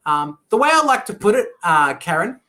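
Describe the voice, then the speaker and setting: A man's voice: sits high, sing-song, normal speed, sharp tone. A man tutoring English online, explaining patiently with personal stories in a casual conversation lesson. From British. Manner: easygoing, explains with personal stories and acted quotes.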